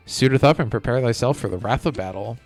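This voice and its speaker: A man's voice: medium pitch, fast, vocal fry, a little mellow, lightly acted. A man voicing a pompous, chivalrous video game knight in grand mock-archaic speech. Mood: grand, rallying. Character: pompous chivalrous male knight quest-giver. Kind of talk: video game dialogue read aloud. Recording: music playing behind the voice.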